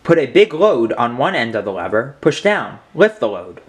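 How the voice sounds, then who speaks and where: A man's voice: mid-range voice, big pitch swings, normal speed, husky. A young man reading aloud and explaining simple science for children as a host.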